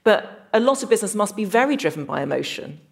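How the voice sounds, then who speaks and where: A woman's voice: medium pitch, sing-song, fast, vocal fry. A woman hosting a podcast interview, asking questions in an articulate, engaged, conversational way.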